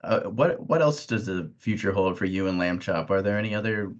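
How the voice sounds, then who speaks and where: An American man in his thirties with a low-pitched voice, heard on a muffled, band-limited recording. He interviews casually, feeling his way haltingly through questions. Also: animated. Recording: dull, band-limited sound.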